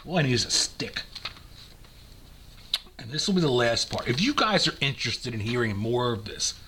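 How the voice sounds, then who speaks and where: An older man with an American accent and a husky voice, reading aloud and chatting in a rambling, nostalgic way. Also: mid-range voice, sharp tone, slight background noise. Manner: rambling, nostalgic, conversational asides. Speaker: amateur reader and host. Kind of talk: dramatic reading of old recipes with casual reminiscing.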